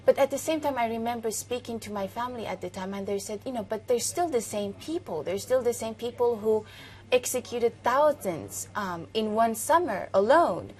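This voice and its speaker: A woman in her thirties, originally from Iran and a native Farsi speaker, answering questions in a broadcast interview in a thoughtful, measured way with hesitant fillers. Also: on the high side, expressive. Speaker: author, interview guest.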